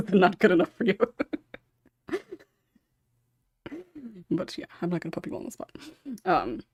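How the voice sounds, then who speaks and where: Low-pitched woman hosting a casual chat. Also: big pitch swings, normal speed, slightly rough. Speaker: podcast host and script writer. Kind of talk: casual panel discussion.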